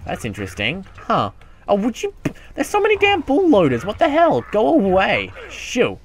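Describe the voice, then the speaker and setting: A man's voice: sits high, wildly swooping pitch, normal speed, slight background noise. A man giving casual let's play commentary as a gaming YouTuber, rambling and self-mocking, often muttering to himself.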